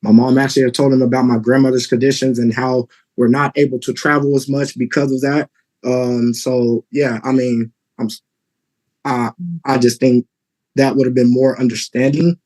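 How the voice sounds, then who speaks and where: A man in his thirties from Texas, answering a judge's questions in court politely and haltingly. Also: medium pitch, flat delivery, a little mellow, poor audio. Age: 30s. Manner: polite, halting, many fillers. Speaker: litigant father.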